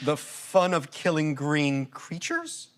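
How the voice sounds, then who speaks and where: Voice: mid-range voice, very animated, takes it slowly, a bit bright. An actor playing an earnest, lofty ancient Greek philosopher in a live sci-fi comedy, formal and pedantic in speech. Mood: dry, disapproving. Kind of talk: live staged science-fiction audio comedy.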